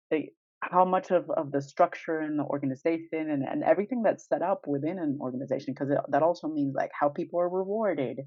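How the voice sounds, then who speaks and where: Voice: mid-range voice, darkish tone, no room sound. Podcast co-host thinking aloud, slightly muffled dry sound. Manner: thinks aloud in rambling questions, chuckling lightly. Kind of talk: casual podcast conversation.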